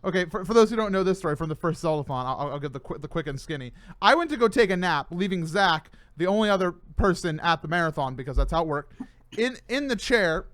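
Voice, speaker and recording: A man hosting a casual podcast chat, animated and full of stammering restarts. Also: on the high side, quick pace, no echo. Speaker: host.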